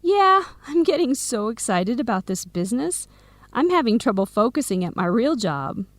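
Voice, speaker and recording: Keen young best friend, kinda high voice, radio drama, really dry sound. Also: female voice, very animated, moderate pace, no echo. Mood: excited. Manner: clearly phrased scripted explanations. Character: Samira, Helen's enthusiastic American best friend, aspiring business owner. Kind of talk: English-learning radio drama acting.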